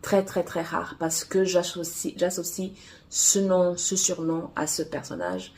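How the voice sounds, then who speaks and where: A woman romance author whose first language is Portuguese, chatting casually to camera in a halting way with many fillers and restarts. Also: lowish voice, lively intonation, darkish tone, slight background noise. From Angola. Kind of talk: casual vlog chat.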